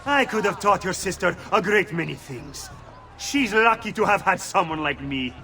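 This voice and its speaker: An actor playing a sleazy, cowardly young braggart with an Italian accent in a video game. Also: male voice, high voice, lively intonation, normal speed, bright, forceful tone, exaggerated voice. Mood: taunting. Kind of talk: video game cutscene acting. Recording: crowd noise behind.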